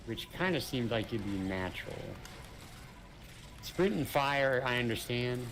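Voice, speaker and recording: Guy talking through a co-op game, mid pitch, noticeable noise behind him. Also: expressive, unhurried. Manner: thoughtful, explains points with careful qualifiers. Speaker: co-op gaming partner. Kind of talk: casual gameplay chat. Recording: clear background noise.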